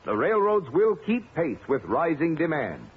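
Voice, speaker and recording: An American man announcing formally from a script on 1950s radio, measured and emphatic. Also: a little high, huge pitch swings. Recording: narrow, faintly noisy 1950s radio broadcast.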